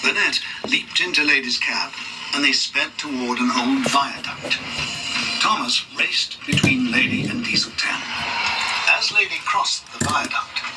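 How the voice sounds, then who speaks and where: A man's voice: a little high, expressive, unhurried, recorded from a distance, very noisy. A man narrating a scripted children's read-along story.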